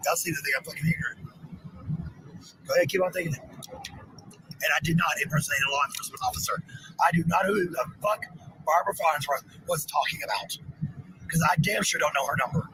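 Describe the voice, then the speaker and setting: A woman with a gravelly, creaky voice, defiant and swearing freely in a heated livestream rant. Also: fairly low pitch, noisy background. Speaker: parent.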